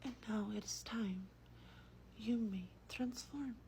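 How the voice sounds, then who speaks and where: A woman with a breathy whisper reads a story aloud in a slow, hushed, gentle ASMR style, as a vampire host close to the microphone. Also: fairly high pitch. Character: gentle female vampire host reading a bedtime book. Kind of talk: soft-spoken ASMR roleplay and book reading. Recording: faint background noise.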